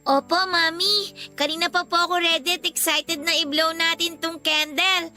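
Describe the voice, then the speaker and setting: An actress playing a sweet, polite eleven-year-old daughter in a Filipino radio drama, with a childlike, pleading way of talking. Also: female voice, very high-pitched, fairly even intonation, normal speed, bright, forceful tone, soft background music, heavily acted. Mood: happy, excited.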